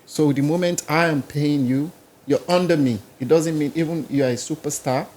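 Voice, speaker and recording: A man's voice: fairly high pitch, normal speed, a little mellow. A man with a Nigerian accent, a musician guest in a podcast interview, talking in a rambling, casual way. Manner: rambling, casual, listing places and languages.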